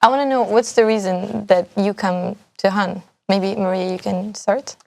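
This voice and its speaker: A young woman from Portugal hosting a live student talk show, upbeat and chatty, with quick bursts of speech. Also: mid-range voice, steady tone, creaky, darkish tone. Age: young adult. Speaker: host.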